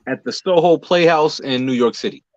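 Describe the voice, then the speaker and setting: A man's voice: on the high side, brisk, dry recording. A man answering interview questions as a guest in a casual, conversational style. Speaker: interview guest, performer and writer. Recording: dull lo-fi dry audio.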